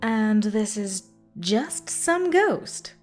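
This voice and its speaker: A woman's voice: medium pitch, big pitch swings, drawn-out words, soft background music, full character voice. A woman playing a cool-headed ghost hunter who talks in a blunt, dry, confident way. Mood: probing. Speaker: voice actress. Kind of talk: fan audio drama acting.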